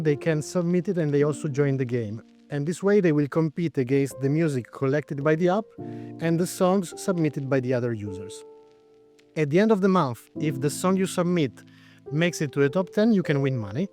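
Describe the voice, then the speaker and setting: A man's voice: a little high, darkish tone. A man in his forties from Italy, speaking with an Italian accent as a startup founder answering interview questions, thoughtful, with frequent hesitations. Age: 40s.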